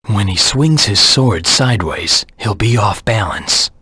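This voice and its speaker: A cold, determined hedgehog anti-hero from a video game. The voice is low and heavily rasped, recorded dry and close. He talks tersely and seriously, as if weighing each move to himself. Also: lively intonation, bright, forceful tone, no echo, full character voice. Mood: wary, focused. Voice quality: husky, gravelly. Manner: terse, serious, deliberate self-talk. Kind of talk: video game character voice lines.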